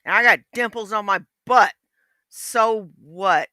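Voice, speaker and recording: A woman's voice: mid-range voice, slow, bright, forceful tone, no echo, clean studio sound. A woman in her fifties, blunt, profane and mocking in casual livestream chat. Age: 50s.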